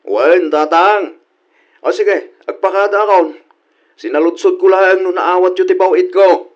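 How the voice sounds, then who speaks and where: A man plays a dutiful, earnest young father working abroad in a radio drama. His voice is fairly high and respectful, with dull, lo-fi sound. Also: unhurried. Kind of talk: comedy radio drama acting.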